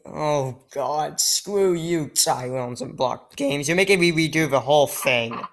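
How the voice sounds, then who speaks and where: A woman giving casual, excitable commentary while playing a video game, joking and muttering asides. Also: deep voice, a little slow. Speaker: let's play gamer. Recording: faint game music behind.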